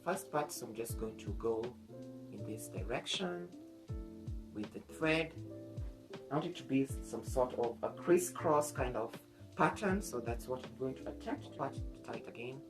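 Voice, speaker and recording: A man's voice: medium pitch, lively intonation, moderate pace. A man giving an easygoing, explanatory craft tutorial in a casual style. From Kenya. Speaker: DIY craft vlogger. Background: background music with guitar.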